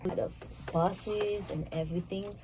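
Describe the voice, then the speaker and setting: A woman in her twenties from Haiti, a guest answering interview questions in an earnest, halting way, often restarting her phrases. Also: medium pitch, fairly even intonation, dark tone, slight background noise, low-quality recording. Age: 20s.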